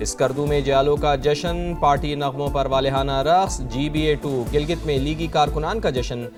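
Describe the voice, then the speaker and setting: A Pakistani-accented man reads the news in a scripted headline style. His voice is on the high side for a man, with music clearly running behind it. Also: moderate pace. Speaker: news anchor reading headlines. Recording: loud music bed under voice.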